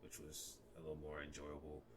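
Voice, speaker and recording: A man's voice: a bass voice, completely flat delivery, fairly quick, a little hiss or hum. A young man in his twenties from Ghana, a native Ga speaker with a slight Ghanaian accent, talking hesitantly with many fillers and restarts in a casual podcast conversation. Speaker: guest.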